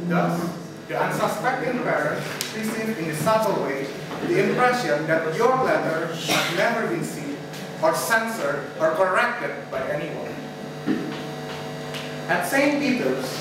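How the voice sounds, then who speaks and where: A teenage boy reading the narration for a school readers theater, steady and even. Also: high voice. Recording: echoey classroom, distant mic, loud noise.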